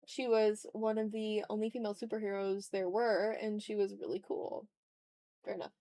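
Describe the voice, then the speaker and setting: A woman's voice: medium pitch, level tone, moderate pace, warm tone, no room sound, clean studio sound. A woman chatting informally on a livestream while she draws, muttering asides to herself as she works. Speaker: art streamer. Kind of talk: casual livestream chat while drawing.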